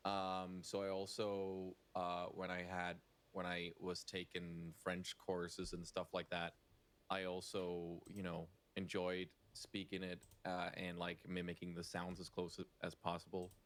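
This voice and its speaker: A man's voice: low-pitched, completely flat delivery, measured pace, a bit bright. A Danish man speaking English with a near-American accent, a guest answering questions in thoughtful, filler-laced explanations. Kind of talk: casual interview answers.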